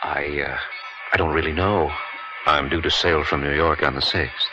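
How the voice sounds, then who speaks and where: A man's voice: very deep voice, fairly even intonation, moderate pace. An actor in a radio drama playing an evasive married man who is leaving his mistress. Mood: evasive, uneasy. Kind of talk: 1970s radio drama acting. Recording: dull radio recording over music.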